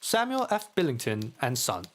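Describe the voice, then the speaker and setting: A man's voice: medium pitch, huge pitch swings, moderate pace, fairly bright tone, a little hiss or hum. A man playing a Victorian English solicitor, dictating a business letter in a formal, clipped and officious manner. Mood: officious, courteous. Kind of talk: dramatic audio drama letter reading.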